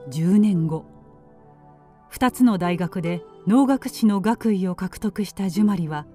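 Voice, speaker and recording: A woman's voice: medium pitch, a little slow, warm tone, soft background music. A woman giving scripted narration for a radio drama.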